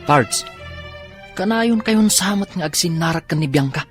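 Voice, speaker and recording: A man's voice: a little high, animated, unhurried, over loud music. An actor playing a nosy young buddy in a radio drama, teasing and cautioning his friend. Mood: teasing warning. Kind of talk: Ilocano radio drama acting.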